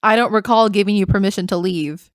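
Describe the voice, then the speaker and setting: A woman's voice: mid-range voice, a little fast, dry recording, clean studio sound, lightly acted. Woman as a cool, confident bunny prosecutor. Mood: cool, assured. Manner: composed, sardonic. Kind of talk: animated courtroom story acting. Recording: clear music behind the voice.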